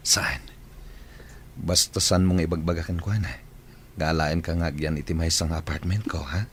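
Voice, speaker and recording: A man's voice: very deep voice, moderate pace. A man acting in a radio drama as a domineering businessman who coaxes and bosses a younger woman. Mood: brisk, assuring. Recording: noisy recording with background ambience.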